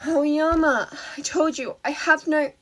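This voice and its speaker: A woman's voice: high-pitched, fairly even intonation, slow, fairly bright tone, pitched above the performer's own voice. A woman playing a guarded, snappy teenage heroine who speaks bluntly and tensely in a story reading. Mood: annoyed.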